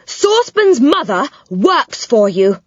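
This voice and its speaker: A woman puts on an anxious young boy from a children's story, pitched high and loud as he shouts and groans. The recording is dry and close. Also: very animated, takes it slowly, no room sound, dull audio, exaggerated voice.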